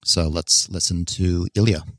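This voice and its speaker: Male podcast host, low voice, easygoing intro, dry close sound. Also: measured pace, no echo. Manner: relaxed, some fillers.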